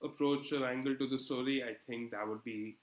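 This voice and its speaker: A man working as an editor answers interview questions conversationally, in a measured, hesitant and thoughtful way. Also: mid-range voice, steady tone, a little hiss or hum. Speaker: magazine politics editor being interviewed. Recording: echoey room sound.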